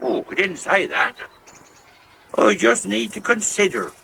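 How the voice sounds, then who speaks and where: Creaky, high-voiced slow country farmhand. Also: male voice, sing-song, bright, forceful tone, exaggerated voice. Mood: stubborn, ruminating. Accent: English West Country rural. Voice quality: creaky, gravelly. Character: slow, grumbling, naive old country farmhand. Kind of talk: 1980s radio soap acting. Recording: hissy 1980s radio broadcast recording.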